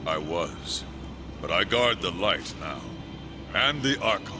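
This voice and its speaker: An actor playing a seasoned, steadfast angel warrior in a fantasy game, speaking formally and earnestly. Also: male voice, low-pitched, animated, slow pace, over music. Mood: grave, steadfast. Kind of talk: dramatic game cutscene acting.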